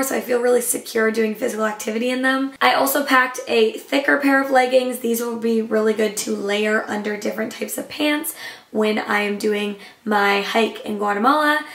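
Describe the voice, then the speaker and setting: Young woman travel vlogger, slightly hoarse, enthusiastic and chatty, echoey room. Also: a little high, fairly bright tone. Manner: enthusiastic, chatty, showing items. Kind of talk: casual upbeat vlog talk to camera.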